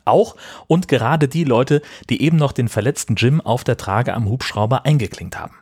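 A man's voice: mid-range voice, normal speed, darkish tone, husky, dry recording, studio quality. A man hosting a podcast, narrating a scripted summary of a film. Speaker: podcast host.

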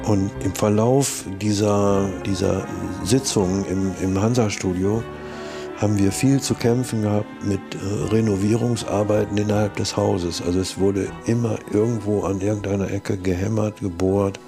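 An older man reminiscing in a documentary interview, halting as he repeats and rephrases. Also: on the low side, fairly even intonation, over music. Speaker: studio eyewitness interviewee, sound engineer.

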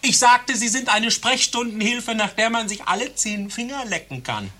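Husky, ardent male suitor. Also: extremely high pitch, normal speed, heavily acted. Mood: ardent, pleading. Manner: ardent, flattering. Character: lovestruck male patient proposing to a receptionist. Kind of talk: satirical radio play acting.

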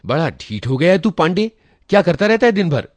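Male reader as furious office boss, hectoring, loud, pitched up, story character, dry sound. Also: sing-song, fairly quick, dry recording. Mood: angry scolding. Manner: harsh, hectoring, loud scolding. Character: angry scolding male officer berating his staff. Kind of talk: dramatized short story reading.

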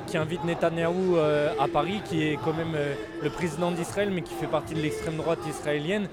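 A man's voice: on the high side, steady tone, fairly quick. A male radio interviewer asking long, rambling questions full of fillers at a street demonstration. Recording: loud crowd noise at a street protest. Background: loud protest crowd.

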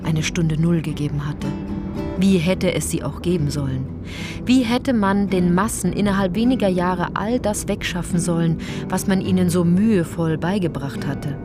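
A woman's voice: lowish voice, normal speed, lightly acted. An actress playing an indignant, principled woman in a radio play, narrating in an articulate, insistent, pointed way. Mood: wry, pointed. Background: music.

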